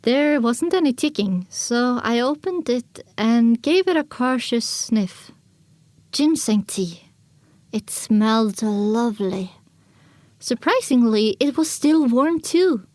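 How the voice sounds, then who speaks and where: A woman's voice: a little high, expressive, slow pace, darkish tone, no echo. A woman narrating a dramatic audiobook in the first person as a young filly pony, reflective and scripted. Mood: descriptive. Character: young filly pony recounting her wasteland journey.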